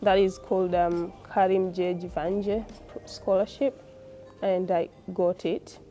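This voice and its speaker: A woman's voice: fairly low pitch, steady tone, takes it slowly, dark tone, faint music behind. A woman with a Tanzanian accent, a postgraduate student giving an informal interview in an explanatory, conversational way.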